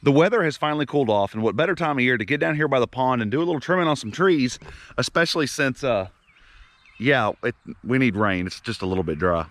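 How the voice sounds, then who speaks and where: A young man with a Kentucky accent, hoarse from a head cold, chatting easily to camera outdoors by a farm pond. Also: medium pitch, animated, quick pace, a bit bright, no echo. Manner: easygoing, rambling, self-deprecating. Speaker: farm vlogger. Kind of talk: casual vlog talk to camera.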